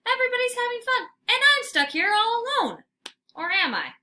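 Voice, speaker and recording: Storybook dog played by a woman: young, excitable, dramatic, pleading, with big animated swings in pitch. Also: brisk, heavily acted. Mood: forlorn, pleading. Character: eager, excitable, needy young male pet dog.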